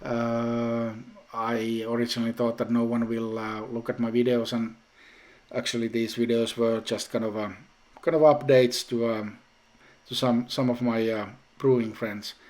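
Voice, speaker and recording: Man, Finnish native speaker, slow unhurried talk, lots of hesitations, casual vlog. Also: mid-range voice, little pitch movement, darkish tone. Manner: slow, hesitant, many ums and restarts. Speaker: home brewing vlogger.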